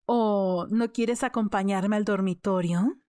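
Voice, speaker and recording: Woman reading as a calm, insistent young nobleman. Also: mid-range voice, takes it slowly, no room sound, studio quality, voice pushed down, lightly acted. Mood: teasing. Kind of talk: audiobook character dialogue.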